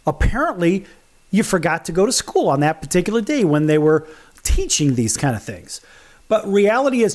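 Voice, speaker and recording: A man hosting a sports talk show, animated and firing off rhetorical questions. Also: a little high. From United States. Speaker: host.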